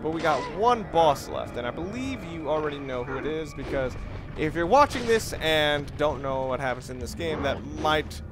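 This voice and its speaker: A man giving casual let's-play commentary as he plays, rambling and halting, often repeating and rephrasing himself. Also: on the high side, animated, over music. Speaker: gaming video host, player. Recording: clear background noise under voice.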